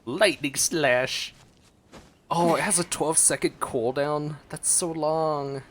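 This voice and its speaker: A man giving casual, expressive gameplay commentary, talking to himself playfully as he plays. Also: a little high, slow, slight background noise. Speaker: gaming streamer. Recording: game music behind voice. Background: video game music.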